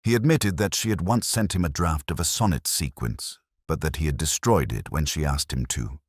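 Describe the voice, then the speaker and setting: Man voicing a very deep, brooding detective narrator. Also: husky, no room sound, clean studio sound. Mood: brooding, reflective. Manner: measured, literary, reflective, somber. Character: brooding, melancholy English male police detective narrating his case. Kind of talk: scripted first-person detective narration.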